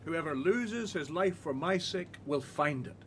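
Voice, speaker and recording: A man's voice: a little high, lively intonation, unhurried, slight background noise. A man playing a solemn religious teacher in a radio drama, declaiming to his followers. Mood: solemn, preaching.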